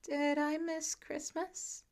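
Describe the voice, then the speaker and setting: A woman's voice: high voice, little pitch movement, takes it slowly, a little mellow, pitched above the performer's own voice. A woman voicing a groggy, earnest fifteen-year-old boy in an audiobook, speaking hesitantly and a bit slurred. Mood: groggy, meek.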